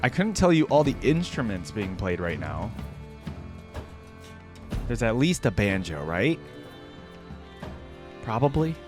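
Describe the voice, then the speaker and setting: A man giving casual reaction commentary as a video host, informal and thinking out loud as he goes. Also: on the low side, big pitch swings, slow pace, pauses often, music in the background.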